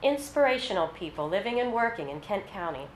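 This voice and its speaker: Woman reader as graceful, expressive artist wife, early fifties, literary story; mid pitch, echoey room. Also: fairly quick, a little hiss or hum, lightly acted. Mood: bright, sociable. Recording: roomy, echoey live event recording.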